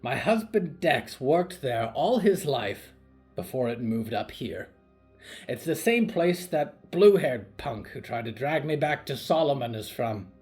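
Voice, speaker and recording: A man's voice: medium pitch, animated, measured pace, a little mellow, faint music behind, pitched above the performer's own voice, natural voice. A man voicing an imperious, snappish old grandmother in an audio drama, indignant and cutting in manner. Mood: bitter, defiant. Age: older. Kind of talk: audio fiction story acting.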